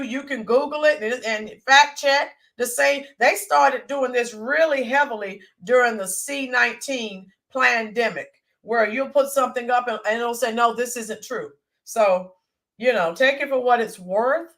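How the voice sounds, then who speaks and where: Woman host, middle-aged, raspy from allergies, fairly high-pitched, loose rambling live chat. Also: fairly bright tone. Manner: rambling, greets chat viewers by name. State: allergy-roughened voice. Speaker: livestream host. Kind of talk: casual livestream chat with viewers.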